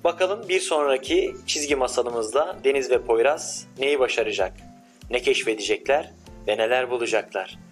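A man's voice: mid-range voice, moderate pace, soft background music. Man in his thirties narrating a children's tale. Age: 30s. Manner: gentle, closing storybook tone. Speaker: storyteller.

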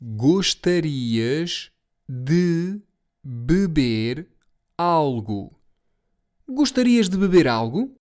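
A speaker with a European Portuguese accent, slowly repeating phrases word by word for learners. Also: medium pitch, very animated, a little mellow, no echo, studio quality. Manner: slow, carefully articulated word-by-word repetition. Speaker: language teacher. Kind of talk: slow repetition drill for learners.

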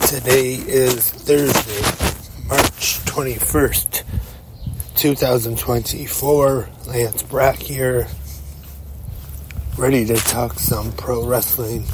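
A man's voice: mid-range voice, background noise. A man hosting a solo wrestling podcast, talking with a stuffed-up nose from a cold. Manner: slow, measured and even, with pauses. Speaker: podcast host. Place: walking to work outdoors.

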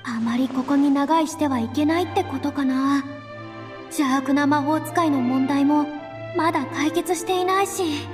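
High-voiced, self-assured young lady. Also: a little slow. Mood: pondering. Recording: music under the voice.

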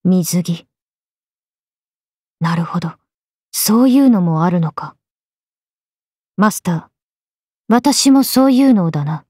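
A woman's voice: on the low side, animated, takes it slowly, pauses often, darkish tone, husky, no echo, clean studio sound, full character voice. A woman voicing a young swordswoman character in a video game. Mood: flat, musing. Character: swordswomen: a lively young one and her stoic alter self.

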